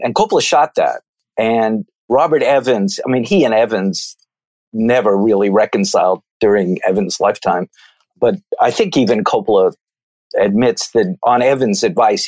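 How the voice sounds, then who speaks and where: A man's voice: on the low side, darkish tone, no room sound. A man from New York with an American accent, talking through films in a discursive, thoughtful, conversational way. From New York, United States. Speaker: film commentator. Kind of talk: conversational film analysis.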